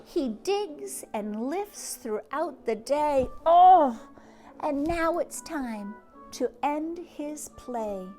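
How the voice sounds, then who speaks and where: A woman hosting a read-aloud for young children, speaking expressively and gently, with hushes and words that drop toward a whisper. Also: high voice, animated, extremely slow, faint music behind. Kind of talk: children's storybook read-aloud and chat to families.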